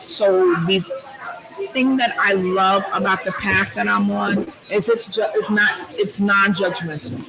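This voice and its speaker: A woman guest with a loud, strong voice, talking earnestly and thoughtfully with frequent ums in a huge, loud space. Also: mid-range voice, fairly even intonation, a little slow, vocal fry, heavy background noise. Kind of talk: reflective personal conversation.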